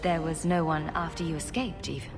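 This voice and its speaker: Female game hero, gentle and curious, mid pitch, played by a woman; reverby, loud music bed. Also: flat delivery, brisk, lightly acted. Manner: gentle, probing questions. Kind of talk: video game cutscene dialogue.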